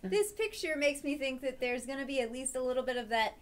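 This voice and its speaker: A woman with a slightly high voice chats casually as a co-host. She chimes in with giggly agreement, and other voices are clearly audible around her. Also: animated, fairly quick, crowd noise. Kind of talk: casual reactive chat.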